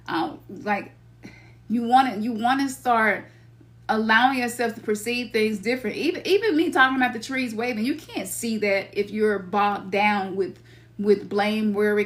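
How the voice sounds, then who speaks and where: A middle-aged woman from Memphis with a Memphis Southern accent, talking casually as a spiritual teacher, loose and slangy, sometimes acting out her own inner voice. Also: mid-range voice. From Memphis, Tennessee. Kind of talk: casual spiritual talk to listeners.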